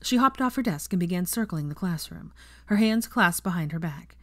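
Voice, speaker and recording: A female audiobook narrator reads scripted fiction in a slightly low voice. The sound is close and dry, with little trace of the room. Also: expressive, moderate pace, fairly bright tone, no room sound.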